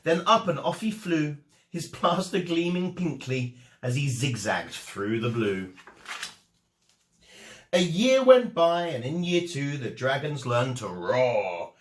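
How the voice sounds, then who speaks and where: Expressive, slow-paced male storyteller. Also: medium pitch. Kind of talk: animated children's storybook reading. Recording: echoey room.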